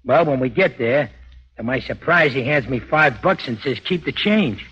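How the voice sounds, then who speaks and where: Blunt new cabbie, husky, slangy, old muffled radio drama. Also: male voice, mid-range voice, lively intonation, moderate pace, fairly bright tone. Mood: matter-of-fact. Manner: plain-spoken, slangy, matter-of-fact. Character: blunt, streetwise, no-nonsense city cab driver. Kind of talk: 1940s radio acting. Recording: dull old 1940s radio recording.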